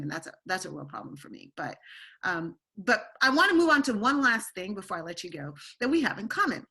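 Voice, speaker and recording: A middle-aged woman hosting an interview podcast, talking in an animated way with many restarts and asides. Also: medium pitch, husky. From born in Turkey to an American family. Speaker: podcast host. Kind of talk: conversational interview.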